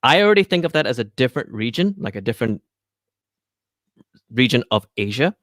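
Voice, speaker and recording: A man's voice: mid-range voice, sing-song, fairly quick, a bit bright, no room sound, studio quality. A man from Malaysia, a guest on a podcast, who answers thoughtfully with frequent ums and restarts. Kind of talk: casual interview chat.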